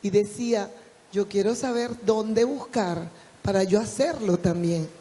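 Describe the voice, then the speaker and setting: A woman's voice: mid-range voice, measured pace, warm tone. An older woman, a journalist and actress, speaking enthusiastically from the floor. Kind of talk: comment from the floor. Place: large event hall. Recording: long hall reverb.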